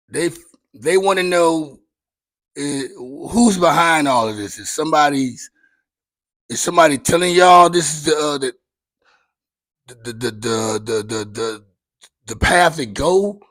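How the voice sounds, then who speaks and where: Raspy-voiced man hosting a casual chat. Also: a little high, fairly bright tone, no echo, poor audio. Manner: loose, candid, slangy and profane. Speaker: podcast host.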